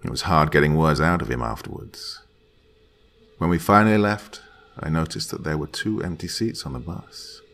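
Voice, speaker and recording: Deep gravelly man narrating horror. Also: slight background noise. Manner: slow, dramatic delivery with hushed whispered lines.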